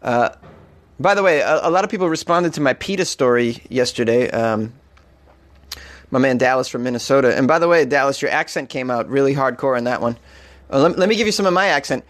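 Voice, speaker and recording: A man's voice: mid-range voice, expressive, a little fast. A man with a Boston accent hosting a comedic weird-news podcast, rambling and joking irreverently, often laughing at his own lines. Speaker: podcast host.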